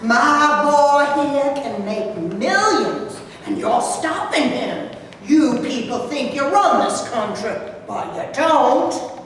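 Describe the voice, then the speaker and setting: A woman's voice: high-pitched, animated, slow, fairly bright tone, heavily acted. A woman reading a poor, defiant mother, echoey hall. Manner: combative, pleading, emotional. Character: poor defiant mother fighting for her son. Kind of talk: staged play reading. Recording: echoey hall from far off, faint noise.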